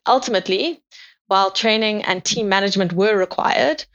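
A woman from South Africa with a South African accent, a guest on a podcast giving measured, methodical answers about her career. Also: mid-range voice, fairly bright tone, dull audio. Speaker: guest, sales operations manager.

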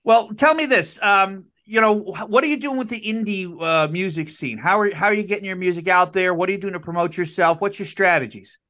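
An enthusiastic man hosts a podcast in a high, bright voice. He asks questions eagerly and often stumbles over his words and repeats them as he goes. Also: brisk, few pauses. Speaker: podcast host. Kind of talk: upbeat interview hosting.

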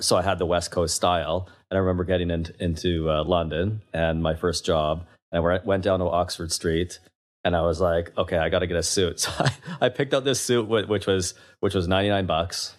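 Deep-voiced Canadian man telling stories. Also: fairly quick. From western Canada. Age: 40s. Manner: animated storyteller, laughs often, stumbling restarts. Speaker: guest, investment CEO. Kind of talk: casual interview chat.

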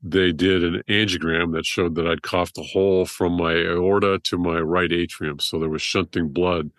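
A middle-aged man, a surgeon guest with a deep voice, rambling through his story in a casual interview. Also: steady tone, moderate pace, fairly bright tone, no room sound, clean studio sound. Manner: rambling, restarts often.

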